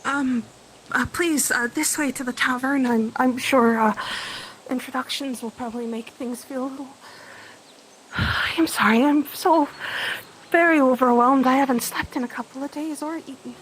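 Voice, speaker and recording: High-voiced, frazzled village baker woman, acted out by a woman. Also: steady tone, fairly bright tone, slight background noise, rough recording. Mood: anxious, overwhelmed. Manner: hesitant, apologetic, flustered, halting. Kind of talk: improvised roleplay acting in a virtual world. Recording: music behind.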